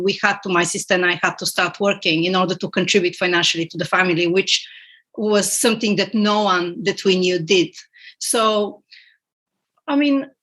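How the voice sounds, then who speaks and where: A middle-aged woman originally from Bulgaria speaks as a podcast guest. She talks in a reflective, unhurried way, often pausing on fillers and restarting her sentences. Her pitch sits in an ordinary middle range. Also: fairly bright tone. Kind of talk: conversational interview.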